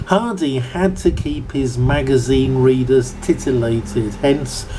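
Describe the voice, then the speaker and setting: A man in his seventies with a southern English accent, reading an informal lecture from notes in a measured, bookish way with formal phrasing. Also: mid-range voice, background noise. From Berkshire, southern England. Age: 70s. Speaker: amateur book reviewer giving an informal lecture.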